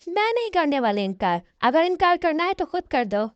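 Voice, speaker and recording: A woman's voice: extremely high pitch, very animated, normal speed, dry recording. A woman voicing a bratty, stubborn college-age girl in a cartoon, whiny and argumentative. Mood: defiant. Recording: dull, lo-fi audio.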